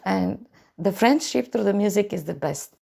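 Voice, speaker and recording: A woman's voice: mid-range voice, lively intonation, moderate pace, vocal fry, a little mellow, breathy edge. A woman in her sixties or older from Bulgaria, with a Bulgarian accent, speaking casually in an interview as an adult music student. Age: 60s or older.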